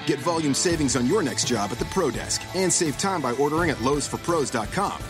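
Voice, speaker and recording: A man reading a scripted radio commercial in an upbeat, steady announcer delivery. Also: on the high side, fairly quick, over music.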